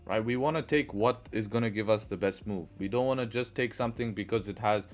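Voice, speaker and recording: Male streamer, mixed partly Arabic-sounding accent, low voice, drills points with rhetorical questions, faint music bed. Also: brisk. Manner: rhetorical, repeats questions and answers to drive a point. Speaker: trading livestream host. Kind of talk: live-stream chat and chart commentary.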